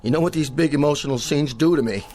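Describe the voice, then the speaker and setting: An actor playing a vain, petulant TV cowboy star who sounds whiny and self-important. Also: male voice, a little high, fast talker, darkish tone, soft background music, natural voice.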